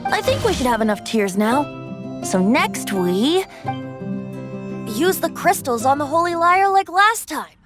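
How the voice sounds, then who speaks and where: A woman's voice: high voice, sing-song, unhurried. A woman voicing a playful, whimsical young male bard with a theatrical, teasing lilt, in an anime video game. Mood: earnest. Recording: music behind the voice.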